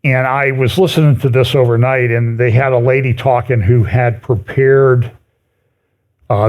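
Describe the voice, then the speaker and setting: Halting, conversational man giving an informal church talk. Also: medium pitch, a little mellow. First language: English. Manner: halting, conversational, often breaks off mid-phrase. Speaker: speaker giving a prophecy update at a chapel. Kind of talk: informal church talk commenting on news articles.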